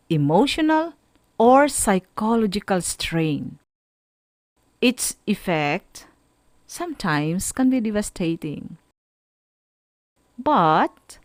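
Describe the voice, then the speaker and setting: A woman with a Filipino accent gives an instructional radio lesson at an unhurried pace. Her pitch rises and falls widely as she carefully explains and questions her students. The sound is close and dry. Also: dry recording. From Philippines. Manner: deliberate, didactic, asks the listener questions. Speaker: radio school teacher.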